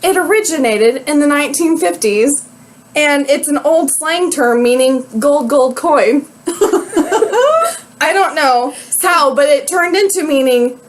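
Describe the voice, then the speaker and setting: A woman's voice: high voice, lively intonation, slow. A young woman co-hosting a casual chat show, giggly and rambling, with lots of fillers. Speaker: podcast co-host.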